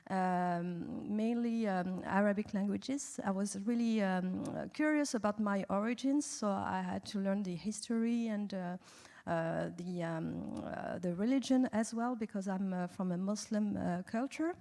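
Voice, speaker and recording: A woman's voice: medium pitch, unhurried, dark tone. A woman from France with a French accent, speaking as a guest at a festival panel in a chatty way, often restarting her sentences. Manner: chatty with frequent restarts and laughs. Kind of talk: conversational panel talk. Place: festival talk venue.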